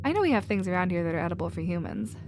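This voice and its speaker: A woman playing a protective, nurturing female alien with a casual, expressive manner in a sci-fi ASMR roleplay. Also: lowish voice, rapid-fire, background noise. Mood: tender, bubbly.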